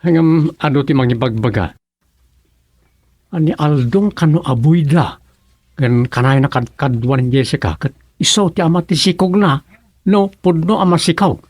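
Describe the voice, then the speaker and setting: A man's voice: a little high, normal speed, darkish tone, dry recording, natural voice. A man with an Ilocano Filipino accent playing a gossipy village official in a radio comedy drama.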